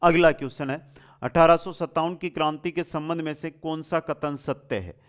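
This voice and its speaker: A man's voice: fairly high pitch, steady tone, normal speed, clear, resonant tone. A man teaching an exam lesson in question-and-answer form, repeating answers and addressing students familiarly with rhetorical questions.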